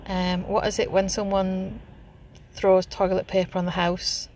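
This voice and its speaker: A woman's voice: medium pitch, level tone, unhurried, darkish tone. A woman from Northeast England with a Northeast English accent, chatting casually in a car with lots of hesitant ums. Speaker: vlogger doing an accent challenge. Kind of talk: casual chat. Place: sitting in a car.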